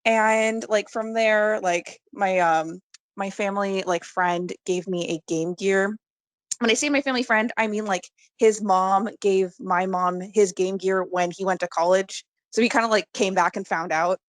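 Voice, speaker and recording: A woman's voice: mid-range voice, steady tone, measured pace, no echo, clean studio sound. A woman chatting casually on a movie podcast, speaking informally and peppering her sentences with "like". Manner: informal, heavy use of "like". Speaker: podcast guest.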